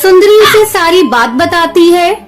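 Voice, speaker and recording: A woman with an extremely high voice narrates a scripted children's story. Music plays clearly behind her narration. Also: animated, unhurried.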